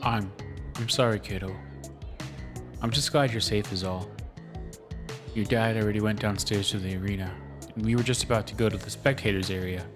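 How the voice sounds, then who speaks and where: Man as protective adult, gentle, reassuring, fairly low voice, audio drama, prominent music. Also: steady tone, moderate pace, long pauses, natural voice. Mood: apologetic. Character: gentle, protective grown man comforting a child. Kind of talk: amateur audio drama acting.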